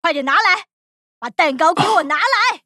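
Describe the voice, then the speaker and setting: A woman voicing a greedy, spoiled young princess in a cartoon, shouting shrill orders at her servants. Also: animated, normal speed, no echo, heavily acted. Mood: bossy, greedy. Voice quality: shrill and demanding. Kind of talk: cartoon dubbing. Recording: dry close studio voice with music.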